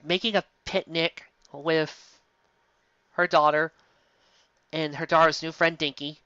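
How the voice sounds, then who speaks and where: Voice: mid-range voice, steady tone, slow, pushed and bright, clear, resonant tone, dry recording, highs cut off. A sarcastic, exasperated commentator reading fan fiction aloud and breaking off into theatrical asides. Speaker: reader and commentator.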